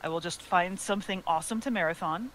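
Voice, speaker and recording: A woman's voice: fairly low pitch, fast, a bit bright, poor audio. A woman co-hosting a podcast, chatting casually and at length. She goes into detail and pokes fun at herself. A faint hiss of noise sits under her voice. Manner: chatty, detailed about sewing, self-deprecating. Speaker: podcast co-host. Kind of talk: casual chat.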